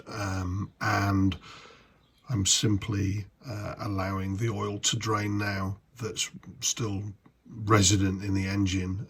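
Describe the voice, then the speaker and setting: A middle-aged man with a congested, cold-affected voice, talking through a hands-on job in a halting, explanatory way. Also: fairly low pitch, slightly rough. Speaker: hobbyist mechanic narrating a video. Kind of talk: casual how-to narration. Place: home garage.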